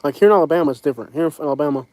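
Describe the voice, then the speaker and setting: A man's voice: a little high, racing, darkish tone, dry recording. A man with a Southern US accent chatting casually on a livestream, rambling and frank, with many fillers and restarts. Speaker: vlogger. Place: home in Alabama.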